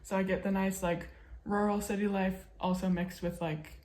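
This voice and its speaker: A woman's voice: medium pitch, little pitch movement, moderate pace, a little mellow, echoey room. A young American woman from upstate New York, chatting casually to her viewers with lots of fillers and self-teasing. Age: young adult. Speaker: vlogger. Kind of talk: casual vlog chat.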